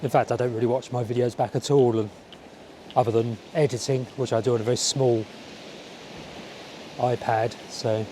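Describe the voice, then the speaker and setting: A man with a slightly croaky voice from a cold, chatting casually and musing aloud as he walks along outdoors. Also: mid-range voice, steady tone, moderate pace, long pauses, mellow, a little hiss or hum. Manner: rambling, musing aloud to the viewer. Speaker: vlogger. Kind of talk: casual outdoor walking vlog chat. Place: outdoors, walking by a village road and seafront. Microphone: small wireless clip-on mic.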